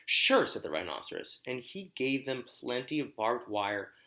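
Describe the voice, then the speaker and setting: A man reading a children's storybook aloud like a teacher, expressively, pausing to explain and ask questions. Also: medium pitch, animated, a bit bright, a little hiss or hum.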